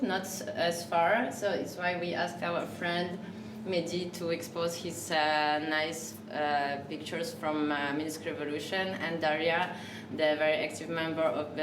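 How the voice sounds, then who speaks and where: A woman hosts a live talk in a café, her voice fairly low and caught from across an echoey room. English is not her first language, and she talks haltingly, with plenty of fillers and false starts. A steady hum of noise runs under her. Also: distant mic. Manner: hesitant, many fillers and restarts. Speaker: café host.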